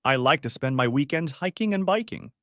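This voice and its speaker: A man teaching beginner English, reading short model sentences clearly and saying each one twice. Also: fairly high pitch, lively intonation, fairly bright tone, no room sound, clean studio sound. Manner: says each phrase twice, clearly and deliberately.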